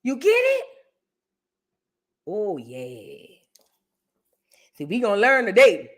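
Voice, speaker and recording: A woman hosts a casual reaction stream, talking loudly and with emphasis, repeating her punchlines and calling on viewers by name. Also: medium pitch, huge pitch swings, slow. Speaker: livestream host reacting to history content.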